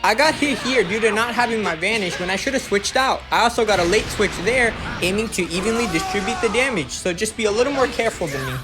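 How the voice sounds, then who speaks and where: Casting: gameplay guide narrator, medium pitch, instructional and explanatory delivery, voice-over laid over clearly audible music. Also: animated, fast, few pauses.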